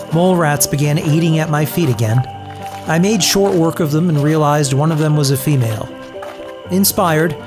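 A man's voice: fairly high pitch, lightly acted. A man playing a put-upon young survivor narrating his journal in a scripted audio drama. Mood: bitter, sardonic.

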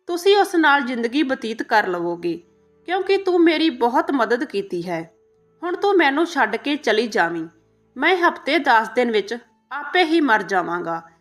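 A frail, bedridden old man from a moral tale, voiced by a woman storyteller. The delivery is high and very melodic, grave and resigned, with sorrow in it. Faint music sits behind. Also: a bit bright.

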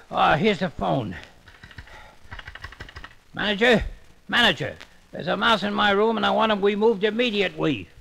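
Flustered polite cartoon guy, high gravelly voice. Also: sharp tone, slight background noise, exaggerated voice, low-quality recording. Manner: fussy, polite, quickly exasperated. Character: mild bumbling grown man, easily flustered. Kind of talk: children's story record acting.

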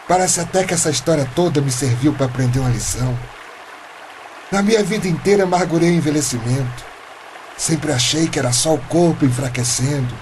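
High-pitched lovesick Brazilian man. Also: vocal fry, noisy background. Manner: melodramatic, pleading. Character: earnest, lovesick man of forty, fretful about ageing. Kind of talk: radio play acting.

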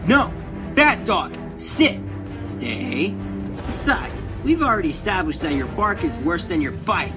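A woman's voice: fairly low pitch, very animated, measured pace. A woman voicing a cocky young cat-boy superhero with theatrical delivery in a cartoon comic dub. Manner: quippy, theatrical. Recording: loud music behind.

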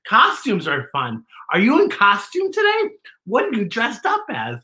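A man's voice: sits high, huge pitch swings, unhurried, pushed and bright. A middle-aged man, a drag performer hosting a children's show, talking in a playful, theatrical, exclamatory way through costume fangs that slightly impede the words. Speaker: children's story-time host, drag performer.

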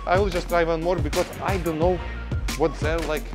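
Man, high pitch, broken English, halting, apologetic, giggly, gym music behind. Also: fairly even intonation. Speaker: prankster host posing as a beginner gym cleaner. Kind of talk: casual prank chat with strangers. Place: busy gym, indoors.

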